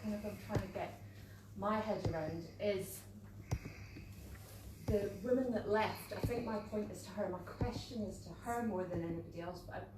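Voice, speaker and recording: A woman's voice: mid-range voice, normal speed. A woman with a Scottish accent, asking a hesitant, searching question from the audience at a meeting. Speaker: audience member. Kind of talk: question from the floor. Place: meeting room at a conference fringe event. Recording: very echoey room, distant mic, faint noise.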